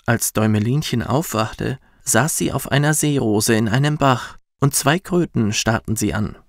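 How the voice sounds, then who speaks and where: A man narrating a children's bedtime story in a soft, soothing, measured way. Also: medium pitch, no echo, studio quality. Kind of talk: gentle scripted storytelling for children.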